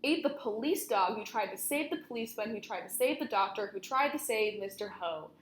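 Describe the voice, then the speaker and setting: A woman's voice: mid-range voice, lively intonation, fairly quick. A young woman reading a children's story aloud in the manner of a storytelling session for kids. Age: young adult. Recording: roomy, echoing room sound.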